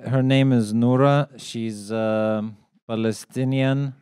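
Mellow-voiced man introducing a speaker. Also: mid-range voice, fairly even intonation, smooth, dry recording, clean studio sound. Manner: halting, with frequent uhs. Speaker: event host. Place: small event hall. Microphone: handheld microphone.

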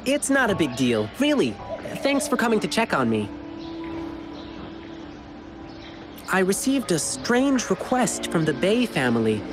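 An actor playing an earnest, kindhearted young male performer in a video game, with a boyish, expressive delivery. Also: high-pitched, normal speed. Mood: reassuring. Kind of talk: animated game dialogue. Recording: game music behind the voice.

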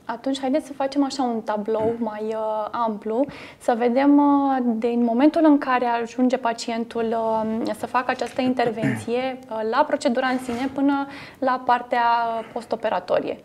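A woman's voice: a little high, steady tone, darkish tone. A woman hosting a TV studio interview, asking brief prompting questions. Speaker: TV host. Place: television studio.

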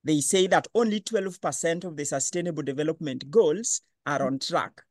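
A man hosting an online panel discussion, lively and questioning. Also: on the high side, moderate pace, dry recording, clean studio sound. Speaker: webinar host.

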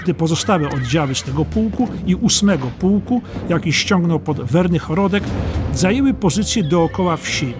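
A man's voice: sits high, animated, normal speed, over music. A man narrating a war history audiobook in a dramatic, scripted storytelling style.

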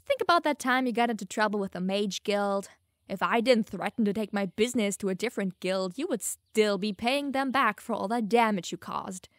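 A woman's voice: medium pitch, fairly quick, no echo, studio quality, close to an everyday voice. A young woman playing a teasing, smug, secretly lovestruck elf mage in an audio roleplay, playful and easily flustered. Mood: playful, defensive. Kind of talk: audio roleplay addressed to the listener.

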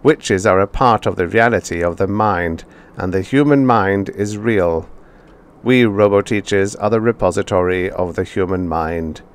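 A man reading as a flat metallic robot teacher. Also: on the low side, natural voice. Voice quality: metallic, even, unfeeling.

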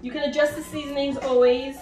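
Young female home-cooking host, high voice, informal instruction, recorded at a distance from the mic with music behind. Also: little pitch movement, brisk. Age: young adult. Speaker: home cook hosting a cooking video. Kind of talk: casual cooking demonstration. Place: home kitchen, indoors. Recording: roomy echo, distant mic, background music.

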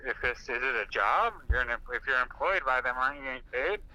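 A man's voice: mid-range voice, expressive, quick pace, bright, forceful tone, no echo, low-quality recording. A man questioning someone in conversation, blunt and sceptical.

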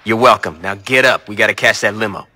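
A man's voice: fairly low pitch, fast talker, bright, forceful tone. An actor playing a brash, impatient male gang boss in a video game, blunt, sardonic and foul-mouthed. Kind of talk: video game cutscene acting.

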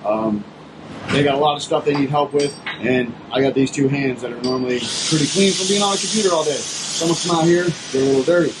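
A man's voice: medium pitch, fast talker. An American man in his thirties chatting casually and upbeat as a vlog host in a large workshop, informal and talkative. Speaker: shop vlog host, IT worker. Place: large metal-roofed workshop. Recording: noisy workshop with clear background noise.

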